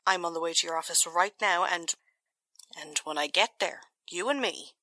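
A woman's voice: lowish voice, moderate pace, bright, forceful tone, dry recording. A woman playing a feisty, furious scrubwoman, indignant and threatening, in a 1940s-style radio drama. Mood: furious threat. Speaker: voice actor.

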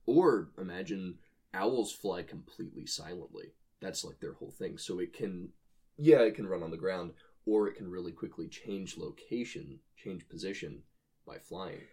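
A man's voice: low-pitched, normal speed, mellow, husky. A man co-hosting a casual speculative discussion, explaining his ideas with enthusiasm as he reasons aloud. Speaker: podcast co-host.

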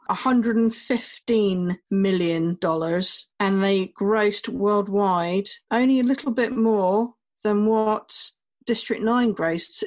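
A woman co-hosting a film review podcast, talking in an easygoing, casual way. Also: medium pitch. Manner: easygoing, quick to laugh. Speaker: podcast co-host.

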